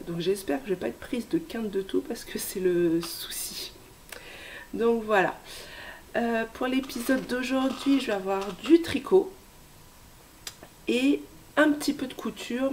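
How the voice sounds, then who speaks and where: A woman in her thirties, hoarse from a cold, hosting a casual knitting vlog with many hesitations and soft asides. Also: mid-range voice, unhurried, a little hiss or hum. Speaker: knitting podcast host.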